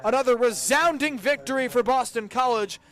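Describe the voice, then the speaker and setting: A young man calling a college game as a radio play-by-play announcer, with brisk, precise calls that lift sharply on scoring plays. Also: extremely high pitch, a bit bright.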